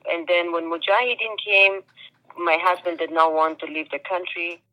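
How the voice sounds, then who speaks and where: An older woman from Afghanistan recounting her family's life in a hesitant phone interview, with many pauses and fillers. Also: on the low side, fairly even intonation, bright, forceful tone, rough recording. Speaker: interviewee, refugee mother. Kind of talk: phone interview, personal account.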